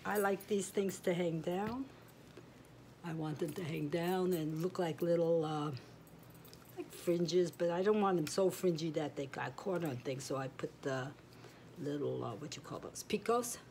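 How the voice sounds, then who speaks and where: A middle-aged woman with a notably low, nasal voice that sounds a bit stuffy. She rambles casually and warmly through a home tutorial, trailing off with fillers. The camera mic sits back in a room with a faint background hiss. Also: unhurried. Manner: chatty, meandering, trails off with fillers. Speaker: crochet vlogger.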